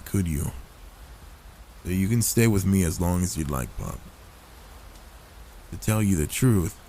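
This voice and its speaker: A man voicing an older werewolf, a gruff but gentle protective loner, in a soft, intimate ASMR roleplay with a soothing, fatherly manner. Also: low-pitched, a little hiss or hum. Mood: gentle, sympathetic. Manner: soothing, paternal, unhurried reassurance.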